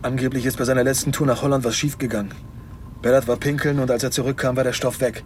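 A man's voice: medium pitch, flat delivery, fast, lightly acted. Man acting a cornered, reckless detective, noisy recording. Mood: remorseful, pleading. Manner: stammering, repeats words under pressure. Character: evasive, reckless police detective, thrill-seeking and cornered. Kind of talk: German radio drama acting. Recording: noisy car interior ambience.

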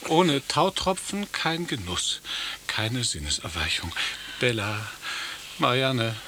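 An actor playing a plaintive, ironic gentleman gourmet with a theatrical, courtly and mock-solemn manner in a German radio play. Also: medium pitch, very animated, takes it slowly, noisy background. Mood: pleading. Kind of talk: German radio play, stylised conversation piece.

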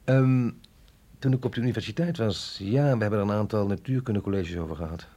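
Sceptische agent met schorre stem. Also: male voice, fairly low pitch, quick pace, mellow. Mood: hesitant, pondering. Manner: hesitant, questioning, wry. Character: sceptical, wry male agent, an outsider out of his depth. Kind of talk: radio drama acting.

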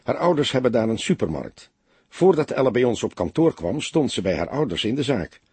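Guy voicing cagey young office woman, evasive and curt, husky, audiobook, dry recording. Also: medium pitch, sing-song, a little fast, darkish tone, no room sound. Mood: matter-of-fact. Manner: evasive, hesitant, reluctant. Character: guarded, curt young female office worker under questioning.

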